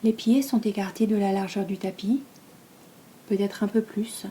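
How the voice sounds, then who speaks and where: Female yoga nidra guide, French accent, dark relaxed tone, calm and soft with long pauses, slight background noise. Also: medium pitch. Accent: French from France. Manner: soft, breathy, calm and soothing delivery; unhurried, with long pauses between phrases.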